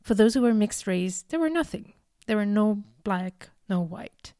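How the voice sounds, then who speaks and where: A woman in her thirties, from Algeria, giving an earnest, deliberate storytelling speech with emphatic repetitions. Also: mid-range voice, expressive, warm tone, dry recording, studio quality. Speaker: speaker giving a talk. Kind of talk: prepared personal storytelling speech.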